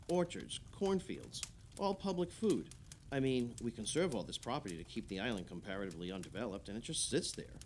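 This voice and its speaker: A man's voice: mid-range voice, darkish tone, a little hiss or hum, lightly acted. An actor playing an opinionated, idealistic male islander holding forth emphatically in conversational radio play dialogue.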